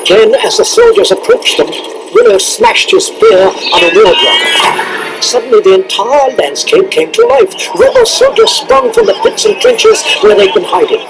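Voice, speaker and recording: A man giving dramatic scripted narration for a children's read-along cassette, over loud music and effects. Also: extremely high pitch, wildly swooping pitch, moderate pace, gravelly, rough recording. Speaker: storybook narrator.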